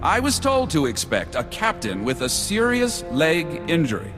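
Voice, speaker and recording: A dry, brusque battlefield medic for a game. His mid-range delivery is lively and wry. It rings in a reverberant space with faint music. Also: on the high side, moderate pace. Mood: brisk, wry. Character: dry, grumbling male battlefield doctor. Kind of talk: video game cutscene acting.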